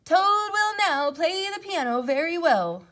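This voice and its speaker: A woman voicing a strange, grandiose stage announcer in a storybook dream, proclaiming in a drawn-out singsong. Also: very high voice, expressive, pushed and bright, voice pushed up, heavily acted. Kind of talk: children's storybook character voice.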